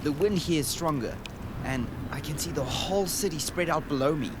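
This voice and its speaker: Earnest young boy traveler played by a man, fairly high voice, gentle radio-drama storytelling over clear background noise. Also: brisk, natural voice. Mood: hushed wonder. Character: earnest, wonder-struck boy recording a journey for his sister. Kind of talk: radio drama narration and dialogue. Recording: noisy outdoor ambience behind the voice.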